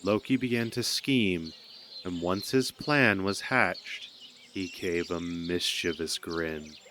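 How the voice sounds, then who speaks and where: A man's voice: low voice, slow pace, sharp tone. A man narrating a scripted audio drama as a storyteller. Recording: noticeable background noise.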